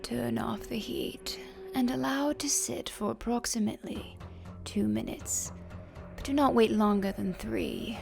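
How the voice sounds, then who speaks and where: A woman voicing a genteel, macabre vampire countess who narrates a recipe in slow, deliberate, breathy ASMR style with creaky fry. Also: medium pitch. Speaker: host.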